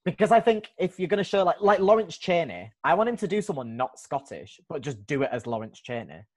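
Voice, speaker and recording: A man hosting a casual podcast chat, animated and eager, laughing often as he talks. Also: on the high side, a little fast. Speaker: podcast host.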